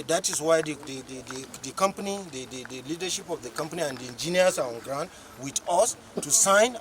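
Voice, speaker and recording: A man, an official giving unscripted remarks at a signing, halting and repeating words as he searches for them. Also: on the high side.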